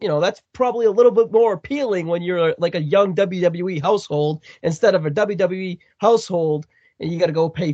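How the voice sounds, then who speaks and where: A man with a Boston accent co-hosting a casual wrestling podcast, animated and prone to repeating words. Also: sits high, dry recording, dull audio. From Massachusetts, USA. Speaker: podcast co-host.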